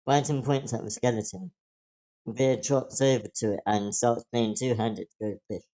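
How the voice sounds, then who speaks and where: A man's voice: mid-range voice, fairly even intonation, moderate pace, warm tone, poor audio. A man reading stage directions as scripted narration at a table read.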